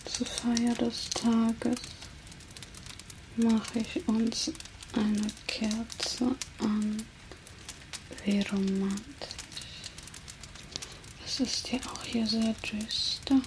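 A woman voicing a teasing, menacing female vampire captor in a soft, slow ASMR whisper. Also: fairly high pitch, level tone. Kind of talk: ASMR roleplay. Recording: noisy hiss under close whisper.

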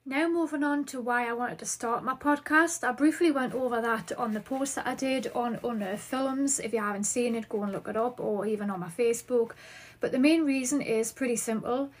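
A woman's voice: a little high, fairly quick. Upbeat Geordie woman podcast host. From northeast England. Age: 30s. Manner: chatty and upbeat, answering listener questions. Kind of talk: solo podcast monologue.

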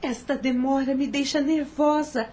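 A woman's voice: high voice, steady tone, moderate pace, a little mellow, lightly acted. An actress with a Brazilian accent playing an anxious, fretful mother in hiding in a radio drama. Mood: nervous.

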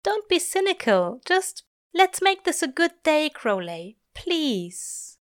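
An innocent, anguished male angel from a storybook, performed by a woman. The voice sits high and plaintive, drawing words out slowly in pleading tones. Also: big pitch swings, dry recording, clean studio sound, heavily acted. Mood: gentle pleading. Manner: moaning, drawn-out pleading.

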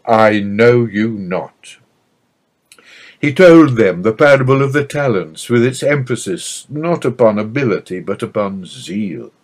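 A man reading a scripted religious narration aloud in a solemn, measured manner. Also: mid-range voice, a little mellow.

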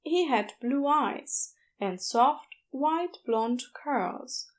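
Slow, high-voiced woman narrating a story. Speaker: audiobook narrator of fan fiction. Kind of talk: expressive scripted story narration.

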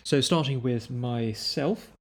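Gravelly young man from the UK. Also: mid-range voice, slow. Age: 20s. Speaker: podcast host. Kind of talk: casual podcast chat.

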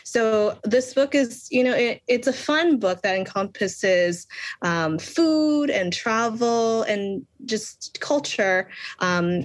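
A young adult woman from Boston, an author answering interview questions earnestly, with many pauses and restarts. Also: mid-range voice, no echo. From Boston, Massachusetts. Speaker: children's book author, guest.

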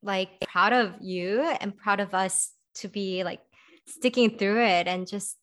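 A woman's voice: medium pitch, fairly even intonation, measured pace, a bit bright. Chatty American woman hosting a podcast. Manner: informal, chatty, encouraging. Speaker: podcast host. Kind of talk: casual podcast chat.

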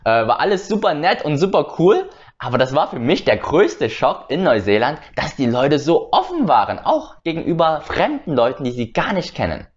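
A man's voice: a little high, huge pitch swings, normal speed. A young man from Germany hosting his own channel, telling a personal story casually and hesitantly, with frequent filler sounds and restarts. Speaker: channel host. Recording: slightly dull lo-fi sound.

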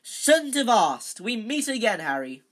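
A woman voicing a vain, jovial male teacher in a storybook, booming and self-satisfied. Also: very animated, measured pace.

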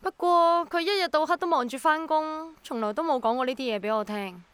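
A woman's voice: high-pitched, brisk, fairly bright tone, dry recording. An actress playing a curious, polite but doubtful young girl in a radio drama, with a Hong Kong accent. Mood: sympathetic.

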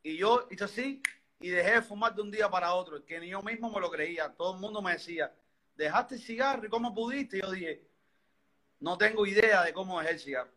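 A man's voice: sits high, a little fast, pushed and bright. A Cuban man in his thirties, a guest earnestly telling a personal story with many restarts. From Cuba. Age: 30s. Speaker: interview guest.

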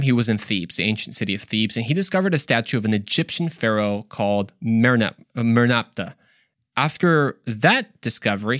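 A man with a medium-pitched voice hosts a podcast in a dry, close recording. He tells his story conversationally, as if chatting straight to the listener. His delivery is playful and joking, sprinkled with exclaimed asides. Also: a bit bright, no room sound. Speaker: podcast host.

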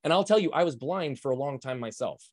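A man speaking fast and loud, full of energy, as he explains ideas as a podcast guest. His voice sounds close and dry, with no room around it. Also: medium pitch, animated, no room sound. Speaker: guest, performance coach. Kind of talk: podcast interview, emphatic explaining.

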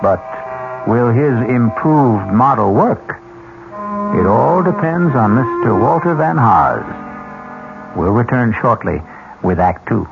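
A man with a gravelly voice hosting and narrating a 1970s radio mystery in a measured, suspenseful manner. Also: mid-range voice, big pitch swings. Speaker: host and narrator. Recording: thin, narrow-band old radio broadcast. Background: music.

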